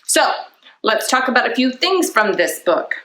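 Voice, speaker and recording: A woman's voice: fairly high pitch, very animated, moderate pace, sharp tone, a little hiss or hum. A woman hosting a children's storytime, reading and explaining simply to young listeners and often putting questions to them. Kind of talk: children's storytime read-aloud and talk to young viewers.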